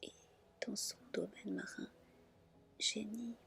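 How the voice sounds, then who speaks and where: A woman softly and gently reading a fairy tale as an ASMR storyteller. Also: mid-range voice, fairly even intonation, vocal fry. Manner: soft, gentle, unhurried narration.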